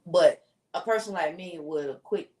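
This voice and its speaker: A woman with a loud, strong voice, chatting bluntly and emphatically as a podcast co-host. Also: lowish voice, normal speed. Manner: blunt, emphatic, repeats herself. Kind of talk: casual chat. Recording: echoey room, far from mic.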